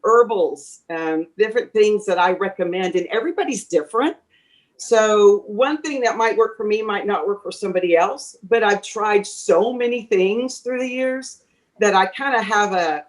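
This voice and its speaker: A woman voice teacher being interviewed, talking chattily and advising from experience, with lots of fillers and restarts. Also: mid-range voice. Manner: speaks from a teacher's experience, giving advice. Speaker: voice teacher, guest. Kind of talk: conversational interview answers.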